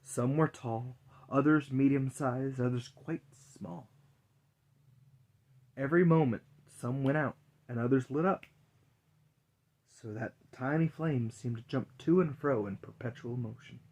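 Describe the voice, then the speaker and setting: A man reads a fairy tale aloud as a storyteller. His voice is mid-range with a husky, breathy grain. The narration is scripted and delivered as a dramatic reading. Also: medium pitch, measured pace, pauses often, darkish tone.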